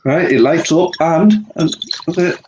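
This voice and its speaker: A man's voice: a little high, moderate pace, muffled recording, background noise. A man with a head cold, chatting casually and laughing easily as co-host over a video call. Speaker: podcast co-host.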